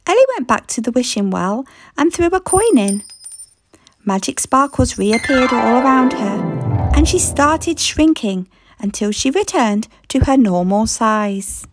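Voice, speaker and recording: A woman reading a children's story aloud in expressive scripted narration. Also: on the high side, measured pace, soft background music.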